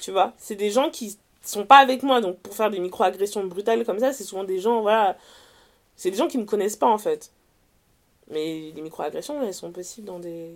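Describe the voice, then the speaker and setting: Casting: young woman, French accent from France, mid-pitched voice, conversational interview guest, animated, slangy delivery that restarts and reworks its phrases. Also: creaky. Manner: animated, slangy, often self-correcting. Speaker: interview guest, writer and columnist. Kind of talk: casual conversational testimony.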